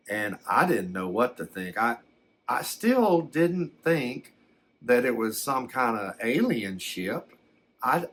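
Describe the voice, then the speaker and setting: A man's voice: medium pitch, fairly bright tone. A man playing a folksy, plain-spoken country fellow who hesitantly recounts his alien abduction as an ASMR story. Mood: bewildered. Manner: hesitant, halting, plain-spoken rural phrasing. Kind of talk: slow ASMR first-person storytelling.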